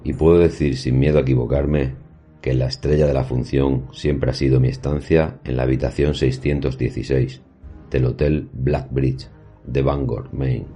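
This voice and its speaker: Casting: male actor for scholarly professor narrating horror fiction, extremely low, dark voice, formal and literary, light music underneath. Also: flat delivery, slightly rough. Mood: grave, reflective. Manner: formal, measured, literary diction. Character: erudite male professor recounting his paranormal experiences. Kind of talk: scripted horror audio drama narration.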